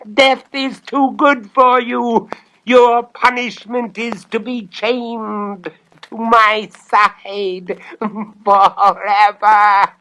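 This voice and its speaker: A hideous old witch, acted out by a man, shrieking high and loud with mocking menace. The voice is shrill and piercing, full of vengeful scorn, as in a theatrical horror storybook. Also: flat delivery, extremely slow, full character voice, low-quality recording. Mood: gloating menace. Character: hideous toothless hag witch, vengeful, mocking fairy queen.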